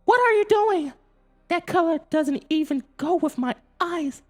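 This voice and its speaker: Very squeaky, brash little alien. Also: male voice, animated, unhurried. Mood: indignant. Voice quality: very high, squeaky. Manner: exclamatory, complaining. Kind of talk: children's storybook character voice.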